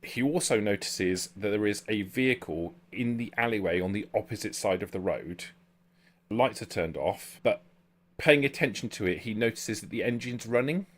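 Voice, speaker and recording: A man improvising narration as game master of a tabletop role-playing game, descriptive and halting as he builds each scene. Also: lowish voice. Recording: background music under the voice. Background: music.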